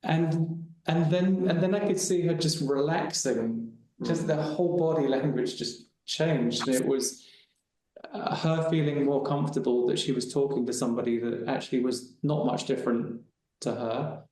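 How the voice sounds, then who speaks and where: A man's voice: a little high, normal speed, darkish tone. A man on a webinar panel telling a personal story in a casual, rambling way with frequent restarts. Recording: very echoey room.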